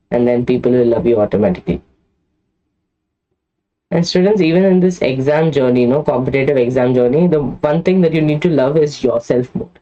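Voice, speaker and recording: Deep-voiced Indian woman teacher. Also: mellow. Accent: Indian English. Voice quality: deep voice with a lot of bass. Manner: talks to students directly, tags many lines with "okay?". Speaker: online biology teacher. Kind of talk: live online class, chatty motivational aside. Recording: dull lo-fi online class audio.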